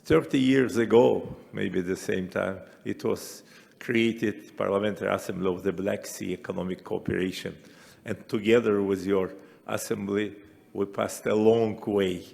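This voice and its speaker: A man giving a formal ceremonial speech as a delegate, in deliberate, broken phrases with non-native grammar. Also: lowish voice, fairly even intonation, vocal fry, darkish tone, big-room sound. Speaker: parliamentary assembly delegate. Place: large assembly hall. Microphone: podium microphone.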